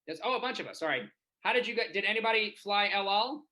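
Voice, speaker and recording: A man's voice: sits high, lively intonation, quick pace, sharp tone. A man from Israel doing a chatty stand-up set from his home over a video call, constantly checking in with the audience. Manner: chatty, keeps asking the audience "right?". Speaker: stand-up comedian.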